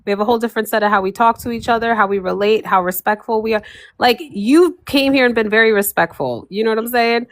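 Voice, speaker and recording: A woman's voice: fairly high pitch, quick pace, no room sound, clean studio sound. A woman, hosting a casual group chat in a sassy, emphatic way and calling people honey. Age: 40s.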